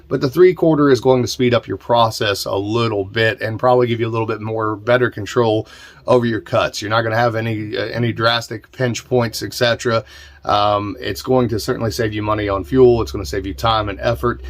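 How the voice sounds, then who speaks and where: A man with an American accent and a fairly low voice talks as the host of an instructional podcast. His delivery is casual and plain-spoken, explaining practical matters informally with a self-deprecating streak. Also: fairly even intonation, brisk. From southern Indiana, United States. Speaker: host of a distilling podcast and channel. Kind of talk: casual explanatory talk answering viewer questions.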